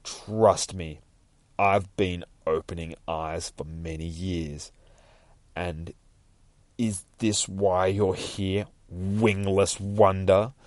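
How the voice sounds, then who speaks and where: A man's voice: sits low, little pitch movement, extremely slow, darkish tone, no echo. A smug, corrupt judge from a comic-book tale, acted out by a male storyteller. He taunts in a theatrical, mocking way and stretches his words with relish. The sound is close and dry. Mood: sly, taunting.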